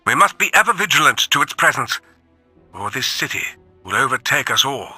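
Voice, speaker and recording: Grave robot war chronicler, bright and forceful. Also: male voice, medium pitch, very animated, brisk, breathy edge, faint music behind, full character voice. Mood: grave, troubled. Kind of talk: dramatic scripted audio log.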